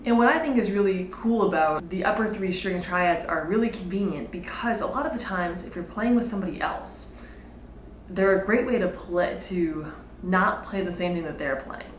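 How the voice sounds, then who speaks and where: A woman's voice: fairly low pitch, moderate pace. A woman giving an informal guitar lesson, explaining casually and thinking aloud as she goes. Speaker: guitar teacher. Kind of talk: informal instructional talk with demonstrations. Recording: roomy echo, guitar played alongside. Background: electric or acoustic guitar playing.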